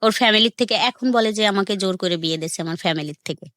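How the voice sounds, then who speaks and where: A woman's voice: medium pitch, fast talker, fairly bright tone, dry recording. A middle-aged woman with a regional Bangladeshi accent, telling her own story informally and colloquially as a studio guest, often quoting dialogue. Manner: plain colloquial telling, quotes reported dialogue. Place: radio studio.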